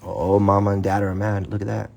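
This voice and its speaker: A man's voice: lowish voice, completely flat delivery, a little fast, vocal fry, a little mellow. A man reading a children's story aloud, with informal, playful asides to a young listener.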